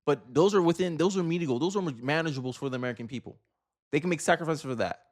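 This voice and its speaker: A man's voice: a little high, racing. A man hosting a commentary show, talking casually and with opinions, repeating and rephrasing his points for emphasis. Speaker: host of a political commentary show.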